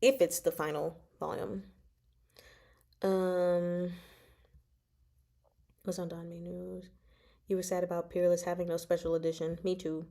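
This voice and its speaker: A woman's voice: on the low side, level tone, unhurried, vocal fry, long pauses, mellow. Casual, chatty woman thinking aloud. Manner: rambling, thinking aloud, playful. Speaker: host of a book discussion livestream. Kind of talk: casual chat, answering viewer comments.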